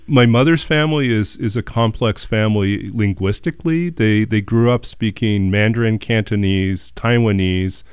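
A man hosting an interview, talking in a relaxed, reflective way with some stammering restarts. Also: on the low side, moderate pace, hardly any pauses, clean tone, dry recording, clean studio sound. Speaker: host. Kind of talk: casual interview chat.

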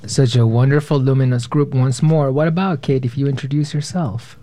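A man's voice: mid-range voice, moderate pace, warm tone. A man in his twenties hosting a live radio panel from a studio, speaking to listeners and guests. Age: 20s. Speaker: radio host, panel moderator.

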